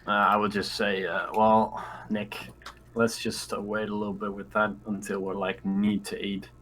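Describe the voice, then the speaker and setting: A man's voice: lowish voice, level tone, moderate pace, a bit bright. A man chatting casually as a player in a tabletop roleplaying game, phrasing things hesitantly, with background noise on the recording. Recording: faint background room noise.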